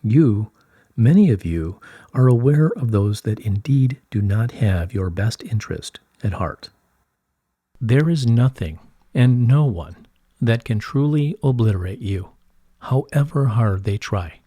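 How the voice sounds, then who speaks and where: A man reading the part of a serene, wise collective of light beings in formal, measured, solemn phrasing from a scripted dialogue. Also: mid-range voice, expressive, mellow, husky, no room sound, clean studio sound. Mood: solemn reassurance. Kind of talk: scripted channelled dialogue read aloud.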